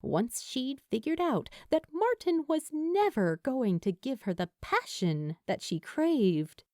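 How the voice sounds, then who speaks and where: A woman reading scripted fiction as a storyteller, in an expressive way. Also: high-pitched, slow pace, no echo, clean studio sound. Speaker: audiobook-style fan fiction narrator.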